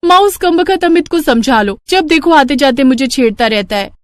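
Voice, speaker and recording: Woman acting a spirited, animated young village bride. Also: high-pitched, moderate pace, voice pushed up. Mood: annoyed. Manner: animated, expressive. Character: spirited young village daughter and bride, complaining yet hopeful.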